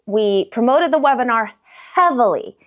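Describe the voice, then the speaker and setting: A woman with a fairly high voice hosts a business talk show, speaking upbeat and self-deprecating. She explains her ideas through metaphors, laughs readily at her own stories and addresses her listeners directly. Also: expressive, normal speed, a bit bright. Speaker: beauty business owner and show host.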